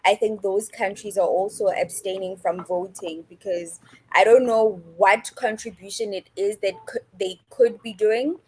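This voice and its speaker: A woman's voice: mid-range voice, steady tone, unhurried, a little mellow, slightly rough, poor audio. A woman adding tentative, hedging points in a casual live-stream discussion. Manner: tentative, hedging, many fillers. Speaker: discussion panelist.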